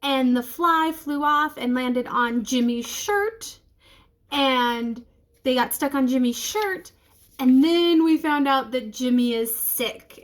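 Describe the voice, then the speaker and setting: A woman's voice: on the high side, a bit bright. A woman reading a children's book aloud, expressively and speaking directly to young listeners.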